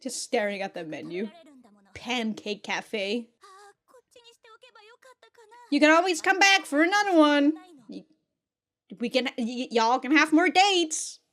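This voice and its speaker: A woman's voice: high voice, big pitch swings, takes it slowly, pauses often, a bit bright. A woman giving casual, slangy reaction commentary as a video host, exclaiming and swearing freely.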